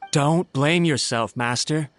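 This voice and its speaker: A man's voice: fairly high pitch, slow pace, fairly bright tone. An actor playing a loyal male attendant in an anime game, deferential and worried, with music behind him. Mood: worried, consoling. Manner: deferential, fretful.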